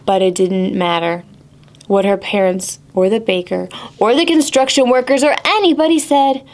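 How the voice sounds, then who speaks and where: A woman hosting a children's storytime, reading aloud in an animated, exaggerated way and putting questions to young listeners. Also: medium pitch, normal speed. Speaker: children's librarian, storytime host. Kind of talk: children's picture-book read-aloud and singalong.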